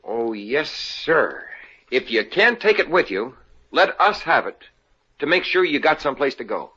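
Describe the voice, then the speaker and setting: A man's voice: medium pitch, big pitch swings, measured pace, bright, forceful tone, husky, heavily acted. An actor playing an eager, bumbling young salesman in a 1950s radio comedy. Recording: dull lo-fi old radio broadcast.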